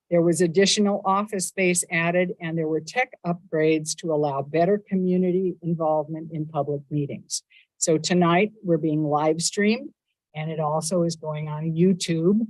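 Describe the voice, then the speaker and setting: A woman with a lowish, loud voice gives a prepared address in a council chamber. She moves through her points in a measured, upbeat way and leans on each item in a list. The sound is dry and close on the microphone. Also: dry recording, rough recording. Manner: measured, upbeat, stresses lists of items. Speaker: mayor giving a state of the city address. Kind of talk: prepared civic speech. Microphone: council meeting microphone.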